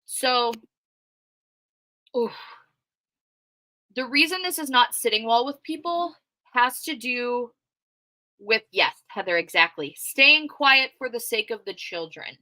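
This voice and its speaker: A loud, outspoken woman talking through a livestream in a casual, conversational way and answering her chat as she goes. Her voice is bright and forceful, and it sits close and dry with no room sound around it. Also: on the high side, expressive, slow, long pauses, no room sound, poor audio. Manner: conversational with frequent fillers. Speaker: true crime commentator reading live chat.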